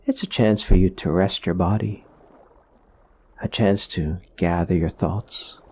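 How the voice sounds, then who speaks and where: A man guides a meditation with a husky, somewhat low voice. He speaks very slowly and gently, pausing between phrases as he explains things in a soothing manner. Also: fairly even intonation. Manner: gentle, unhurried, explanatory with pauses.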